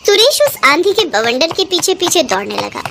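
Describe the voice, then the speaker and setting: A woman tells a children's moral story as a scripted narration. Her voice is high and swings expressively from phrase to phrase. There is some noise behind the recording. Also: big pitch swings, moderate pace, creaky.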